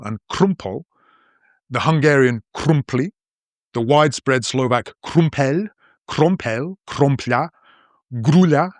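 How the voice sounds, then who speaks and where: A man's voice: medium pitch, dry recording. A man in his fifties from northern England with a mostly posh English accent that keeps northern traces, narrating an educational podcast in a playful, explanatory way. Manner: playful, explanatory, lively storytelling. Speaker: podcast host and language teacher.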